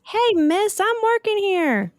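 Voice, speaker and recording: A woman voicing a small, hardworking honeybee who talks in a brisk, no-nonsense way. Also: very high-pitched, sing-song, no room sound, studio quality, pitched above the performer's own voice, full character voice. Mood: busy. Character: busy hardworking little honeybee.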